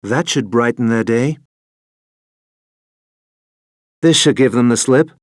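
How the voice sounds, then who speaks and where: An actor playing a suave, unflappable British secret agent in a video game, wry and understated. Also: male voice, mid-range voice, moderate pace, no echo, studio quality, lightly acted. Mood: dryly satisfied.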